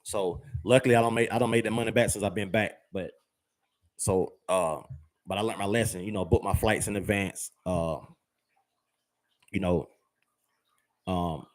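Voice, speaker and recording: Man, low voice, slangy, rambling, lots of fillers, talking to viewers. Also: moderate pace, long pauses. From United States. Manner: rambling and conversational, with many fillers and repeated words; uses slang, addresses viewers as fam and y'all. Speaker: travel vlogger. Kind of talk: casual advice monologue to viewers.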